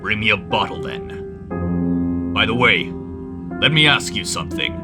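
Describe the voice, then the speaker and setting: A man plays a grim samurai bent on vengeance in an animated tale. His voice sits very low, and he speaks in terse, solemn, insistent lines. Loud music plays underneath. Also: a little slow. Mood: demanding. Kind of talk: dramatized animated bedtime story.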